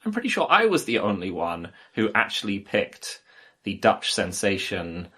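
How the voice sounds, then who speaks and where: A man's voice: on the low side, huge pitch swings, moderate pace, sharp tone, slightly rough. A male podcast co-host in casual banter, needling his partner in a mock-incredulous way.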